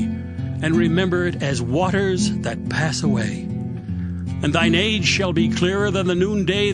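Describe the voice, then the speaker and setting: An actor playing a stern, self-assured accuser in a dramatized scripture reading, admonishing solemnly with rhetorical questions and exhortation. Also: male voice, high voice. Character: Zophar, stern self-assured accuser preaching repentance to a sufferer. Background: guitar music.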